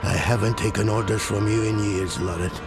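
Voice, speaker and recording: An actor playing a proud, stubborn dying man in a fantasy game, his gravelly voice weak and strained. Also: lowish voice, steady tone, normal speed, close to an everyday voice. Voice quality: gravelly, creaky. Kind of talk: cinematic fantasy game acting. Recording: loud scary music behind.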